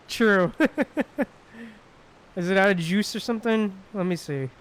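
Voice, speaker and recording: A man chatting casually as he livestreams a game, with hesitant, drawn-out phrasing. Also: sits high, animated. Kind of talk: casual livestream chat while playing. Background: game music.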